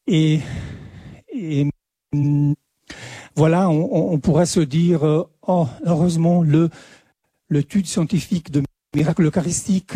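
A man with an Italian accent, a native Italian speaker, giving a talk at a conference in French, halting often and repeating words as he searches for them. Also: on the high side, dark tone, husky, no echo. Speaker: lecturer.

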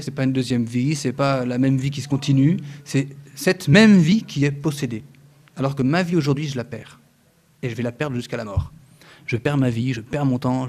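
Earnest man in his thirties teaching faith. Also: medium pitch. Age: 30s. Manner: earnest and lively, with many hesitant fillers, restarts and touches of humor. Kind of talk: spoken religious teaching to an audience.

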